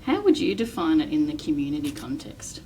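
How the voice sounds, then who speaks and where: A woman's voice: sits low, sing-song, brisk, warm tone, recorded from a distance, a little hiss or hum. A woman hosting an interview, asking questions and affirming her guest's answers with frequent backchannels. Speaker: interview host. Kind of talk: hosted interview.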